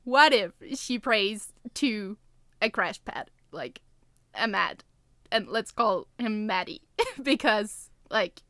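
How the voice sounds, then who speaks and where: A woman chats informally as a podcast co-host at a markedly slow pace. Her voice sits at an average pitch and is recorded very dry, with hardly any room sound around it. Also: lively intonation, a bit bright, no echo. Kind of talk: casual podcast chat.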